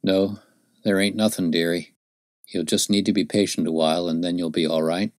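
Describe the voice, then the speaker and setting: A man voicing a gaunt, dying frontiersman who speaks gently in rustic dialect, with a low voice. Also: fairly even intonation, normal speed, dry recording, studio quality, close to an everyday voice. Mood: gentle.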